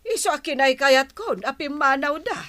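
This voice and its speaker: A woman's voice: high voice, lively intonation, normal speed, fairly bright tone. A woman playing a fed-up, scornful middle-aged mother, blunt and exasperated, in an audio drama. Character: fed-up, scornful mother washing her hands of her son. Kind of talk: Ilocano radio-style audio drama acting.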